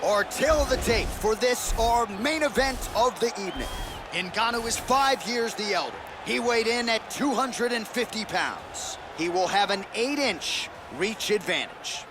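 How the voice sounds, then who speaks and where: High-voiced, hyped fight commentator. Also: male voice, animated, measured pace. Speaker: play-by-play commentator. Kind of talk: sports commentary for a video game. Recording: loud arena crowd and noise behind.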